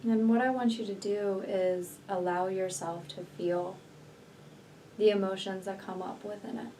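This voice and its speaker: A woman guides a healing meditation in a mellow, relaxed voice. She speaks slowly and soothingly, letting long pauses sit between deliberate phrases. The recording sounds a little distant, with faint noise behind her. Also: mid-range voice. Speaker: energy healer and meditation guide.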